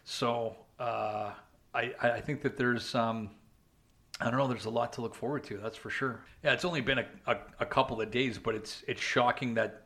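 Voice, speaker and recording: A man's voice: medium pitch, steady tone, moderate pace, fairly bright tone. A young man co-hosting a podcast, talking casually and rambling with frequent restarts, filler words and "man". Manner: chatty, frequent restarts and "man", "you know". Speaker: podcast co-host. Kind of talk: casual chat.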